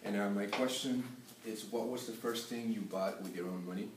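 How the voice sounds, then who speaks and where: A young man who grew up in Peru tells a personal story for a class assignment, pausing often on filler sounds. His voice sits somewhat low. It comes from a distance in an echoey classroom with a faint hum behind it. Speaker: student giving a class speech.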